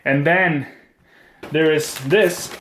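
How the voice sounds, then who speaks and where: A young man with a Swedish accent, unboxing computer parts in a casual, excitable vlog at home, swearing and joking as he goes. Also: a little high, drawn-out words, noisy background. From Sweden. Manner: excitable, swears a lot, jokey. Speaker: gaming vlogger. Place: at home indoors. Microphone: webcam.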